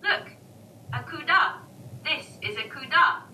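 A cheerful cartoon lady teaching toy words to babies, voiced by a woman. She speaks in a very high, bright sing-song that swoops up and down. The sound comes from far off with a roomy echo and faint ambience. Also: lively intonation, slight background noise, full character voice. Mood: coaxing. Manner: slow, sing-song speech aimed at babies. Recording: echoey, distant playback with faint ambience.

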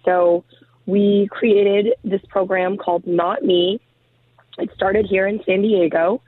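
A woman who has lost her voice to a cold, speaking hesitantly as a guest in a casual interview, filling pauses with ums. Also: mid-range voice, steady tone, dry recording. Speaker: guest, program founder. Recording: tinny phone line.

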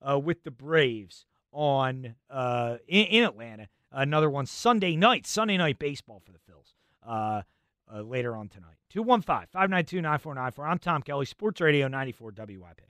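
Animated sports radio host, bright voice. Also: male voice, medium pitch, moderate pace, no echo, clean studio sound. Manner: animated, conversational, many fillers. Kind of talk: sports talk radio banter.

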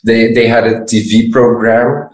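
A man's voice: medium pitch, flat delivery, unhurried. A man in his late twenties from western Belgium with a Belgian accent, answering questions as an interview guest. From west Belgium. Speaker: podcast guest, dancer and receptionist. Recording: echoey room.

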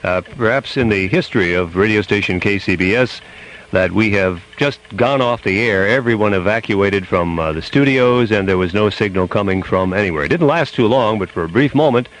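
A man's voice: lowish voice, a little fast, fairly bright tone, poor audio. A man with an American accent anchoring a live radio news broadcast.

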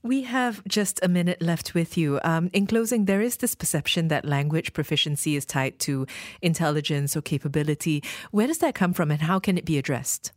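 A woman from Malaysia hosts a radio interview, putting brisk, direct questions to her guest. Her voice sits very low, and the sound is dry and close. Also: expressive, dry recording. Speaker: radio host.

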